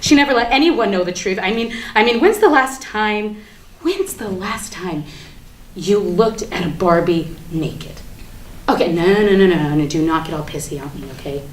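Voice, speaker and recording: A woman's voice: mid-range voice, normal speed, close to an everyday voice. A woman performing a theatrical monologue as a defiant, ranting everywoman, sardonic and emphatic in her delivery. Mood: indignant ranting, then taunting, confiding. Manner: sardonic, emphatic, swinging from hushed confiding to outraged ranting. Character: ranting, defiant everywoman gleefully confessing she killed Barbie. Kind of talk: theatrical monologue at a staged reading. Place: live staged reading in a room. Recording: echoey room with background noise.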